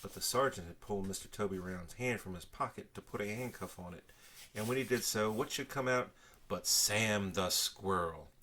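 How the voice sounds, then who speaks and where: An older man reading a children's story aloud with expressive narration. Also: lowish voice, normal speed, a little hiss or hum.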